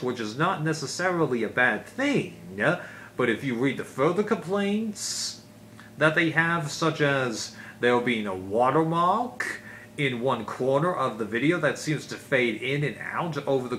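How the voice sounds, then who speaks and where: A man's voice: mid-range voice, a bit bright. Man, casual video host, rambling explanatory delivery, unscripted review style, audible background noise. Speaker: video host, DVD collector.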